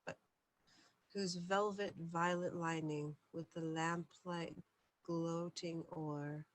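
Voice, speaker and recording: A woman storyteller giving a deliberate, theatrical bedtime reading of gothic poetry, sometimes hushed. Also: lowish voice, steady tone, low-quality recording.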